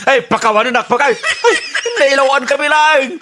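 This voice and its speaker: A man's voice: extremely high pitch, animated, moderate pace, bright, forceful tone, slight background noise, exaggerated voice. A man with an Ilocano accent acting a flustered, philandering husband in a radio comedy drama, stammering, exclaiming and whining as he pleads. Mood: wailing, pleading. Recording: music bed behind the voice.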